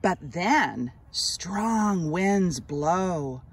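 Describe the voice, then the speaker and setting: A woman hosting an outdoor read-aloud for children, speaking to them with deliberate, expressive enunciation. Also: on the low side, breathy edge. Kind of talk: children's story read-aloud and friendly outdoor talk to kids. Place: outdoors on a grassy trail. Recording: outdoor ambient noise.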